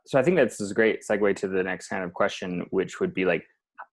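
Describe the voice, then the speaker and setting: A man hosting a casual interview over a video call, relaxed and conversational with some hesitant restarts. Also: sits low. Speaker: interview host.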